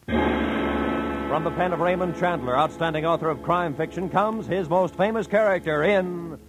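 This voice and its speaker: A man works as announcer in 1940s radio style. His fairly high voice is formal and dramatic, set against loud orchestral music. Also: animated, normal speed, low-quality recording. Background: loud orchestral music with timpani.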